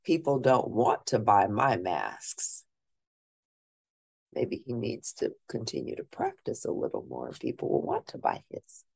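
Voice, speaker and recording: A woman teacher reading a children's picture book aloud at a deliberate pace, pausing to ask her listeners questions. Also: extremely low pitch, a little mellow, no echo.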